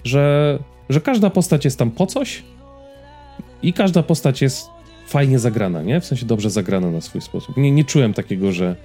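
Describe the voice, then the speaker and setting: Mellow-voiced Polish man chatting on a podcast. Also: medium pitch, lively intonation, normal speed, soft background music. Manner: informal, explanatory, addressing co-host and listeners. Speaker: podcast co-host. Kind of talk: casual pop-culture podcast chat.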